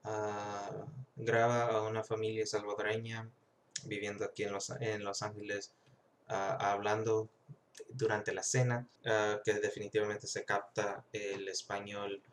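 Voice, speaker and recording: A man's voice: fairly low pitch, flat delivery, a little slow, a little hiss or hum. A young man from the United States with a Mexican-influenced Spanish accent, talking through a class reading in a reflective vlog, with many fillers and restarts. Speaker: student.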